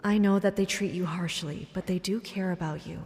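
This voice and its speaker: A woman playing a steady, reassuring military captain and mentor in a fantasy audio drama. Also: on the low side, a little fast, close to an everyday voice. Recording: long hall reverb, faint noise.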